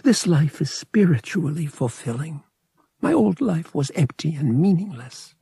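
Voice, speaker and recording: A man voicing an earnest, devout young female pilgrim in an audiobook, reserved, wistful and softly spoken. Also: fairly high pitch, lively intonation, a little slow, vocal fry, breathy edge, no room sound, studio quality, voice pushed up, heavily acted. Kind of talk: audiobook character acting.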